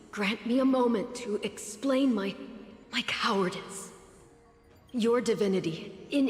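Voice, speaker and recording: Woman as pleading game heroine, slow delivery, formal earnest wording, echoey space, background music. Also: medium pitch, natural voice. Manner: halting, earnest, formal speech. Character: humble mortal heroine pleading for her life. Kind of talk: dramatic video game voice acting. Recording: long echoing hall reverb, music behind.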